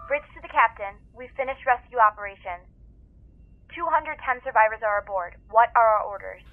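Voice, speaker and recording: A woman's voice: on the high side, a little fast, narrow, tinny audio, close to an everyday voice. A woman playing a dutiful bridge officer in an audio drama, reporting to superiors in a formal military manner. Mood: businesslike.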